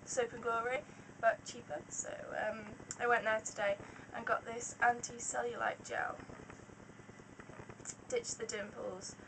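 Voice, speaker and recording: A young woman talks casually to a webcam in a small room, her fairly high voice slightly croaky and full of ums as she rambles along. The audio is noisy and a little distant from the mic. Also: fairly even intonation, unhurried. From Wales. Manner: chatty and rambling, many ums. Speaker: beauty vlogger. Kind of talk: casual haul video. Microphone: webcam at close range.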